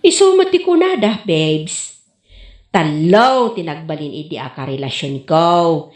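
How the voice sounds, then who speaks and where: A woman's voice: low voice, animated, unhurried, darkish tone. A woman with an Ilocano accent playing a doting, sugary-sweet sweetheart in a comedy radio drama. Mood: sweetly doting. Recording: roomy, echoing radio drama sound.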